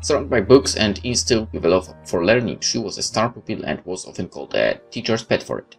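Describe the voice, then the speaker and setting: Low-voiced man reading game text aloud. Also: big pitch swings, a little fast, gravelly, husky, poor audio. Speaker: gaming video host. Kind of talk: video game let's play, reading text aloud with asides. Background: game music.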